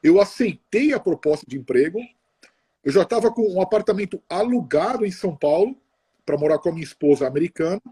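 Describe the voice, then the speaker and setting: Middle-aged guy, slightly high warm voice, rambles and restarts a lot, video call. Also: lively intonation, slightly rough. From Brazil. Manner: chatty, halting, many restarts and tag questions. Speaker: interview guest. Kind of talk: casual conversational interview.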